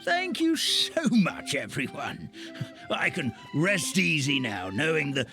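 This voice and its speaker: A man voicing a fussy, excitable elderly fairy-tale grandpa in a video game, theatrical and courteous. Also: a little high, takes it slowly, full character voice. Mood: relieved, grateful. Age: older. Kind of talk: video game character acting. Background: background music.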